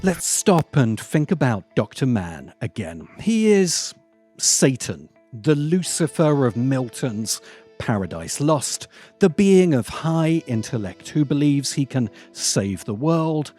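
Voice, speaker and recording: A man narrates a scripted film essay at a slow pace. His pitch moves widely as he leans on key words and leaves dramatic pauses. Faint music plays behind his voice. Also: sing-song, dark tone. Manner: deliberate, dramatic pauses and emphasis. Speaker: host of a film commentary channel.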